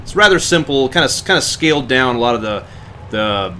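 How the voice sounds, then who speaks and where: A man giving informal live commentary on a video game, reacting out loud as he plays. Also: mid-range voice, animated, fairly quick, a little hiss or hum. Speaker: game livestreamer. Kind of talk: casual gameplay commentary.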